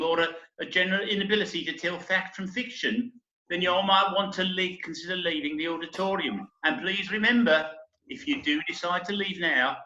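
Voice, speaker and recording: Earnest, slightly flustered theatre compere, acted by a man, bright and high-pitched, roomy lo-fi sound. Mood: awkward, formal. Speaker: amateur actor in a remote group reading. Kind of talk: comic stage-play reading, addressing the audience. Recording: echoey, dull internet call recording.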